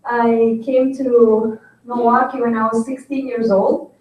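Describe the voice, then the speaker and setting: A woman speaks earnestly and fills her pauses with "uh" and "um." She sits slightly high for a woman, heard from far off in a very echoey hall. The sound is a little dull. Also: little pitch movement. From Paraguay. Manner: earnest, with many fillers. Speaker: economics teacher. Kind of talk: personal introduction at a forum.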